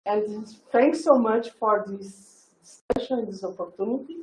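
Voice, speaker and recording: A woman introducing a guest speaker at an event, searching for words with many pauses and fillers. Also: fairly high pitch, darkish tone. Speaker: event host. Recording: echoey lecture room.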